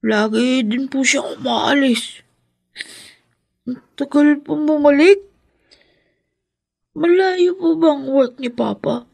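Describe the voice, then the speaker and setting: A small, innocent child in a radio drama. The voice is high and a little creaky, with slow, simple, polite speech and a plaintive longing for an absent parent. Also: a little mellow, exaggerated voice. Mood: wistful, waiting.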